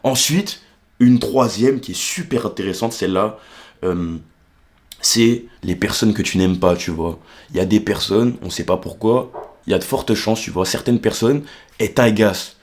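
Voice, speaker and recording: A man's voice: on the low side, moderate pace, a little mellow. A young man from Martinique, explaining ideas in a casual, colloquial monologue and often checking that the listener follows. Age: young adult. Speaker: vlogger explaining psychology.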